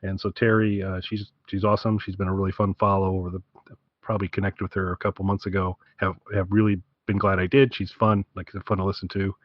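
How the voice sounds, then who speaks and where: A man's voice: deep voice, little pitch movement, fast talker, dry recording, muffled recording. A man co-hosting a podcast, chatting casually in a rambling, wry way. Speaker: podcast co-host.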